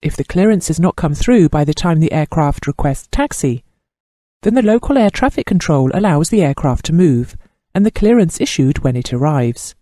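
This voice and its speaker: A man narrates training material formally and with care. His voice sits a little high for a man and moves through a lively range of pitch. The tone stays soft and mellow. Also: no echo, studio quality. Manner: formal, measured reading. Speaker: instructor narrator. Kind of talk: scripted instructional narration.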